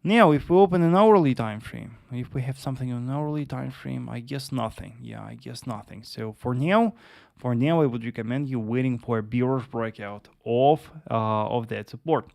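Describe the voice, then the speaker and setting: A young man with a Russian accent talks through his charts on a live trading stream, mid-pitched and recorded dry and close. He speaks patiently and repeats himself, filling pauses with "uh" and "yes" as he reads out viewers' questions. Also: vocal fry, a little mellow, no echo. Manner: patient, repetitive, lots of uh and yes. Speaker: trading livestream host. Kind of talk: live-streamed market analysis with chat Q&A.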